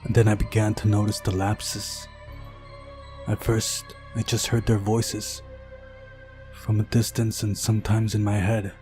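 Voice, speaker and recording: A man's voice: on the low side, flat delivery, measured pace, mellow, faint music behind, close to an everyday voice. Man acting a mocking, unhinged young pioneer. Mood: intense, unhinged.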